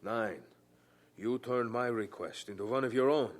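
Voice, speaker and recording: A man's voice: medium pitch, a little slow, natural voice. A man playing an earnest, defiant young son in a radio drama, pleading and questioning insistently. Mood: pained, resolute. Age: young adult.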